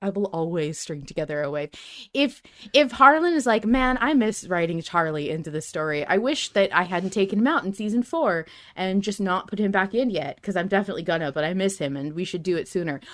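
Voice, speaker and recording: Emphatic, excitable woman chatting. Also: fairly low pitch, a little fast. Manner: emphatic, excitable, repeats and restarts phrases. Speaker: podcast host. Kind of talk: casual fan discussion, ranting.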